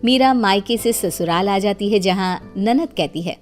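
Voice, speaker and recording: A woman narrating a dramatised story in an expressive, conversational way, as a storyteller. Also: mid-range voice, a little slow, faint music behind.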